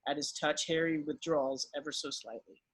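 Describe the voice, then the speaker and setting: A man with a husky voice reading stage directions as the narrator of a script table read. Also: a little high, steady tone, normal speed, fairly bright tone, low-quality recording.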